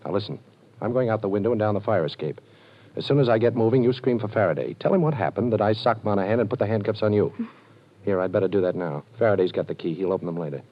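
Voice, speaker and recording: A cool ex-con detective with a low voice and a wry, joking confidence. He talks in easy wisecracks and brisk orders. The sound is dull and lo-fi, an old radio broadcast. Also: expressive. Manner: wry, joking and self-assured. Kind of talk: 1940s radio acting.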